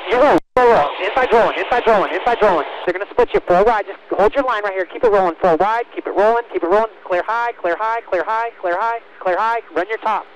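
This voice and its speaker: A man with a fairly high voice talks over a race team radio with faint noise. He works as a spotter, guiding a driver in short, clipped phrases that he often repeats. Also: quick pace, few pauses, low-quality recording.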